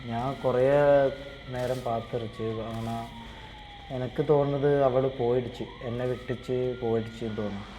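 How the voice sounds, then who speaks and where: A man talks casually in a live role-play game chat, in a mid-pitched voice. Faint noise sits behind him on the voice chat. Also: steady tone, normal speed, mellow, low-quality recording.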